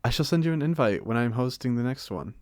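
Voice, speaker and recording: A man's voice: mid-range voice, expressive, fast, dry recording, clean studio sound, lightly acted. A man playing a genial old vampire in an audio roleplay, speaking with a British accent in polite, formal, wryly chatty phrases. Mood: cheerful, gracious. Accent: British, put on by an American. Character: genial, chatty, courteous centuries-old male vampire being interviewed. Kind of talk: ASMR audio roleplay monologue.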